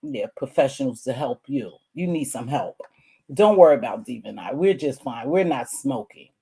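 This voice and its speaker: A hoarse-voiced woman in a heated casual rant to her viewers, sassy and scolding. She flares into loud, pitched-up outbursts, then drops to low, muttered asides. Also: lively intonation, normal speed. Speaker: online streamer.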